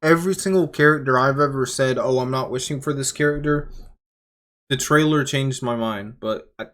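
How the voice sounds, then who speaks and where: A man's voice: medium pitch, moderate pace, a bit bright. A young man giving casual reaction commentary as a gaming creator, thinking aloud in a conversational way. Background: music.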